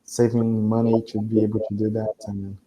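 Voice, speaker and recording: A man from Brazil whose first language is Portuguese, talking with his tutor over a video call. He is earnest and agreeable, with thoughtful pauses. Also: fairly low pitch, completely flat delivery, dark tone, a little hiss or hum, poor audio. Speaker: language student. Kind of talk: casual conversation lesson.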